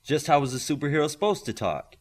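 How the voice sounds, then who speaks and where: A man's voice: on the high side, very animated, fast, voice pushed up. A man voicing a confident, proud teenage Greek boy superhero in a storybook, with boastful, grand declarations. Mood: serious, offended.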